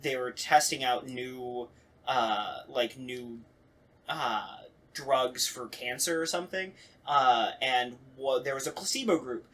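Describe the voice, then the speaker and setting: A man talking casually in a group discussion, halting and slangy as he works through his thoughts. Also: medium pitch, fairly bright tone.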